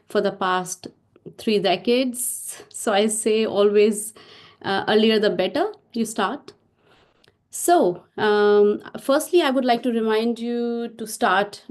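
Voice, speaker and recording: A woman's voice: medium pitch, a little mellow. A middle-aged woman hosting an online meditation session over a video call, speaking with many fillers and restarts. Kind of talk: welcoming host talk.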